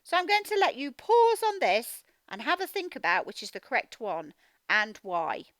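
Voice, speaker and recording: A woman teacher giving a children's read-aloud phonics lesson, speaking in an animated, emphatic, instructional way and sounding out words. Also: fairly high pitch, moderate pace, no echo. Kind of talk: children's read-aloud lesson, explaining directly to young learners.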